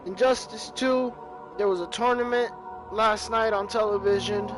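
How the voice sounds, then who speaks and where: A man's voice: sits high, fairly even intonation, moderate pace. Stuffy, rambling young man gamer. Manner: rambling, repeating words as he talks. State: stuffy from a cold. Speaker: gaming channel host. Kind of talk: casual let's-play commentary. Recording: game audio with loud music behind.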